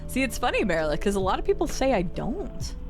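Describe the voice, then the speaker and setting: A woman's voice: a little high, huge pitch swings, fast. A young woman with a Midwestern American accent, chatting casually with her stream chat as she plays, laughing often and swearing freely. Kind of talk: casual live-stream chat while playing. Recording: music playing behind the voice.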